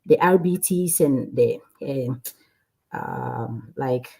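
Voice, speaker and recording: A woman from Ghana with a low, husky voice, speaking slowly and calmly. She answers as an interview guest in a relaxed way, often laughing and repeating words. Her tone is mellow and unhurried. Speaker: guest, cardiac sonographer. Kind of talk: casual interview.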